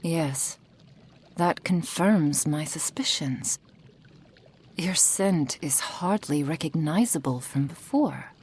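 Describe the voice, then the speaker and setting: A woman playing a possessive, doting kitsune witch in an intimate ASMR roleplay, breathy and often whispering, with a silky, formal manner. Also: sits low, expressive, slow, darkish tone, exaggerated voice. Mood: curious, fascinated.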